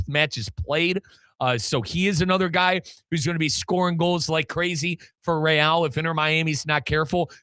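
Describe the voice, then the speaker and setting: A man's voice: fairly high pitch, a bit bright, dry recording. An American man hosting a soccer show, talking in an emphatic, colloquial way and repeating phrases for effect. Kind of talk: sports talk commentary and interview.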